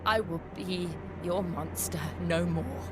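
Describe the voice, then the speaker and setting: An actress playing a wronged, resolute female warrior in a video game, solemn, measured and defiant. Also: on the low side, noisy background. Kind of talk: dramatic video game acting.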